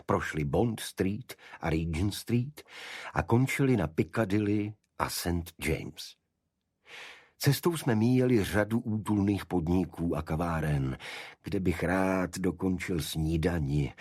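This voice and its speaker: A very low-voiced man narrates a detective story in measured, literary fashion. His voice is rough and husky, recorded dry and close, with no sense of room around it. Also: darkish tone, no echo. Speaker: audiobook narrator.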